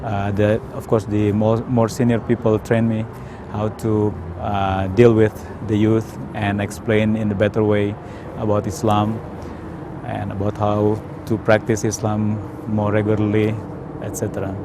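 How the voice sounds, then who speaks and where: A man from Indonesia with an Indonesian accent, telling his personal story in a hesitant, careful way with frequent pauses. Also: fairly low pitch, monotone, background noise. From Indonesia, South Sumatra. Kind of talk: unscripted personal testimony.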